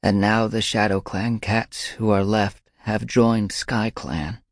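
A man's voice: fairly low pitch, flat delivery, slow pace, dry recording, clean studio sound, pitched above the performer's own voice. A man voicing a regretful clan cat who murmurs.

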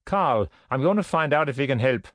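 A man voicing a weary, gruff German army patrol sergeant in an audiobook, brisk and resigned in manner. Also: mid-range voice, animated, no room sound, voice pushed up, lightly acted. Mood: commanding.

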